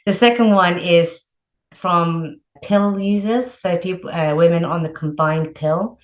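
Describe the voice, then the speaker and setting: Low-voiced woman coach in a roomy space. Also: unhurried. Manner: chatty, frequent "like" and "you know" fillers. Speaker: women's health coach, guest. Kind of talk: casual explanatory advice. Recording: roomy echo.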